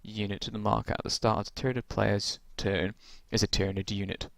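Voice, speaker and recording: Gravelly low-voiced man rambling through rules. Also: level tone, brisk, dry recording. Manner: rambling, many ums and restarts. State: croaky from long talking. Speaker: tabletop game reviewer. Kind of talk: casual rules walkthrough.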